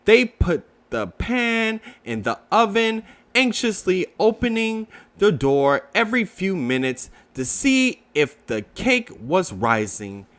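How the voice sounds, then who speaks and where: Slow, high-voiced, animated male storybook reader. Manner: expressive, deliberate delivery for young children. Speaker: children's book reader. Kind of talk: read-aloud storybook narration.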